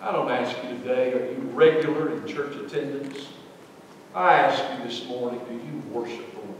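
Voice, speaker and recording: A middle-aged man preaching a sermon, swinging between loud, emphatic lines and soft ones. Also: mid-range voice, normal speed, slight background noise. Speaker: pastor. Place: large church sanctuary. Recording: echoey hall, voice from far off.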